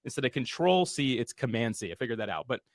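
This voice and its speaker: A man's voice: mid-range voice, expressive, fast, dry recording. Man, webinar host, earnest, motivational, dry sound. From USA. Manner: earnest, motivational, casual fillers. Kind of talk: webinar launch party hosting.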